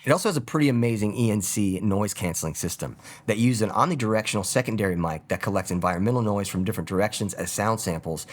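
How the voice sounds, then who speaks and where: A man in his thirties hosting a scripted, upbeat product review from a small studio. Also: lowish voice. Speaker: video host, product reviewer. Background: background music.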